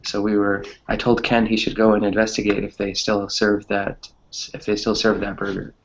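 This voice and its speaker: Creaky low-voiced man, casual teacher chat. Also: monotone, moderate pace, highs cut off, noisy background. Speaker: online English class teacher.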